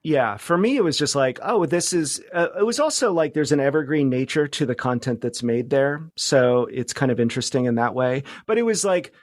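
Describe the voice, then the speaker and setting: A man chatting casually as a podcast guest, halting and tentative as he thinks aloud. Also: medium pitch, animated, no room sound. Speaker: podcast producer, interview guest. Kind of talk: casual chat.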